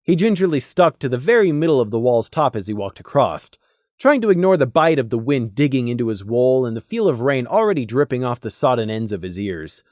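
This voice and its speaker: Expressive, dry-recorded male storyteller. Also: medium pitch, a little fast, no echo. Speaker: storyteller, audiobook narrator. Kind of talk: scripted narration of a fantasy story.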